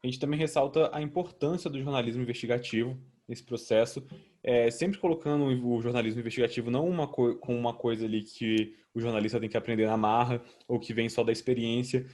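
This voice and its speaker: A man's voice: mid-range voice, steady tone, fast talker, a little mellow. A young man with a Brazilian accent presenting a student project in a didactic, conversational but semi-formal way.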